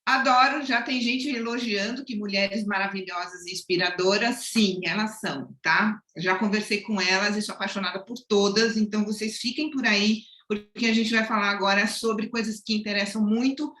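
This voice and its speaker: A woman's voice: mid-range voice, fairly even intonation, normal speed, few pauses, pushed and bright, roomy sound. A woman moderating a panel webinar on a video call, chatty and effusive.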